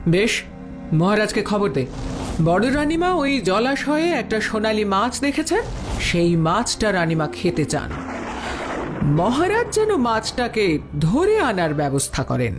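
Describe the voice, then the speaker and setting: Casting: actress as haughty villain queen, mid-pitched, swooping delivery, fairy-tale audio drama, clear music behind. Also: big pitch swings, unhurried. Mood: scheming, commanding. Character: scheming, commanding elder queen, cold villain.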